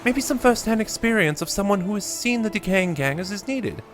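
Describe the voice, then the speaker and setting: A man doing earnest young Mal, high-pitched. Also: animated, fairly quick, over music. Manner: earnest, pitched well above the narration.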